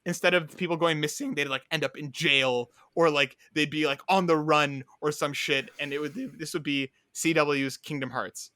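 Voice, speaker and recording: A man's voice: a little high, steady tone, normal speed, hardly any pauses, pushed and bright, dry recording, studio quality. A man rambling through a casual podcast chat as co-host, full of filler words.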